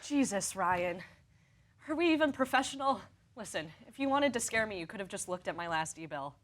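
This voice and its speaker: A woman's voice: medium pitch, lively intonation, a little fast, natural voice. A woman playing a flustered, scolding woman in a comedy sketch. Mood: flustered, annoyed. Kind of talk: acted comedy sketch dialogue.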